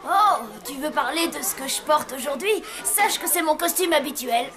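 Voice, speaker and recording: A woman's voice: high-pitched, lively intonation, normal speed. A woman voicing a slangy, boastful and awkward young zombie boy in a French cartoon dub.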